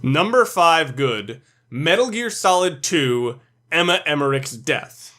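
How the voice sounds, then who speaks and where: A man's voice: a little high, fairly bright tone. A man delivering scripted, opinionated video commentary as a countdown reviewer, blunt and sprinkled with swearing.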